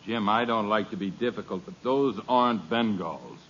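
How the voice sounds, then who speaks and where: An actor playing a fussy neighbor who is a pedantic, polite amateur gardener, in 1950s radio comedy. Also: male voice, lowish voice, moderate pace, fairly bright tone, slight background noise, natural voice. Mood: prim. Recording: muffled old radio broadcast.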